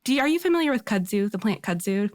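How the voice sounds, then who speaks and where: A woman's voice: mid-range voice, sing-song, quick pace. A woman talking casually and with effusive enthusiasm as a guest on a show. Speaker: podcast guest. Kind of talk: casual excited chat.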